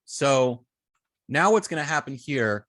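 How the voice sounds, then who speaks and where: A man's voice: mid-range voice, expressive, slow pace, a bit bright, no room sound. A man teaching an informal hands-on coding lesson, thinking aloud hesitantly and trailing off mid-sentence. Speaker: web design instructor.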